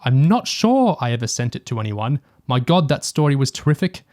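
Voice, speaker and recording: A man's voice: medium pitch, big pitch swings, brisk, a little mellow. Man in casual review discussion. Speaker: podcast co-host.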